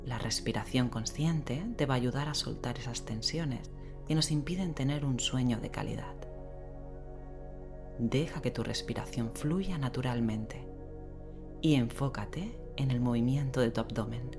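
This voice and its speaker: A woman leading a guided sleep meditation in a gentle, unhurried way with long pauses, giving slow, soothing instructions. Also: a bass voice. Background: relaxing rain sound and soft music.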